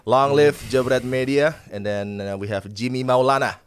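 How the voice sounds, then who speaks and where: A man's voice: medium pitch, normal speed, clear, resonant tone, no echo. A man hosting a casual football talk show, hesitant with many filler words.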